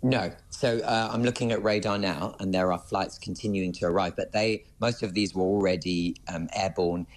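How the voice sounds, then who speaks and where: Man, lowish pitch, aviation commentator, live news analysis, explanatory, stop-start phrasing, emphatic key words. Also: steady tone, moderate pace, hardly any pauses.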